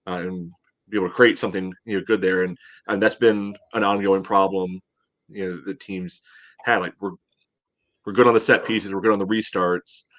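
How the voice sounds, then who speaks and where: Low-voiced man talking soccer casually. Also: steady tone, normal speed, fairly bright tone. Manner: meandering, frequent "you know". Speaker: soccer podcast panelist. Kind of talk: casual match analysis chat.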